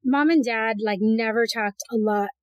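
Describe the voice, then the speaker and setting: A woman in her forties hosting a casual podcast chat, telling stories with lively acted-out quotes. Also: fairly high pitch, moderate pace, no echo, lo-fi sound. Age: 40s. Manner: animated storyteller, acts out quotes. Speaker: podcast host.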